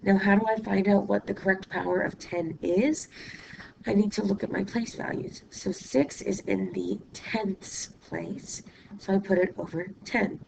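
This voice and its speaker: A hoarse woman teaching math, her voice very gravelly and rough from illness. She walks through each step patiently, pausing as she writes and checking that students follow. Steady background noise sits under the recording. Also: mid-range voice. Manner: patient step-by-step explaining, asks "okay?"; writes while talking, pausing mid-sentence. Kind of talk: recorded classroom lesson.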